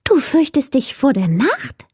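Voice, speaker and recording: A woman's voice: extremely high pitch, animated, moderate pace, a little mellow, no echo, clean studio sound, pitched above the performer's own voice. A woman voicing a small, skittish will-o'-the-wisp in a bedtime story, stammering when scared and gushing when happy. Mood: enthusing. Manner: stammering, trembling at first, then gushing. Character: timid, then giddy little will-o'-the-wisp.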